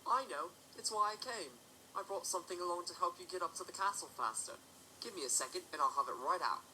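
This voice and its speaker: Chill teasing pony guard, bright tone, slight hiss. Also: male voice, high-pitched, fast, poor audio.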